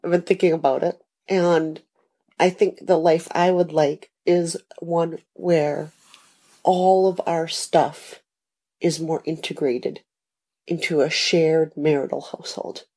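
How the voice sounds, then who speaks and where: A woman's voice: low-pitched, steady tone, slow pace, dark tone. A woman in an informal conversation with her husband, earnest and coaxing. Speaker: wife recording a talk with her husband.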